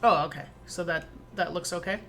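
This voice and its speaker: A woman's voice: on the low side, brisk, fairly bright tone, slight background noise. Chatty Minnesotan woman streaming. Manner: chatty, giggly, playful. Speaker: streamer playing a game. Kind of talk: casual gameplay chat. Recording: game music behind.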